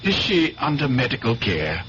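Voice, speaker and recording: An actor playing an earnest, blunt doctor, grave and emphatic, in a 1970s radio drama. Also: male voice, medium pitch, huge pitch swings, a bit bright, slight background noise. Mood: urgent concern. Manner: grave, emphatic, deliberate phrasing. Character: earnest, worried doctor, a man, blunt and insistent. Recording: dull, hummy old radio recording.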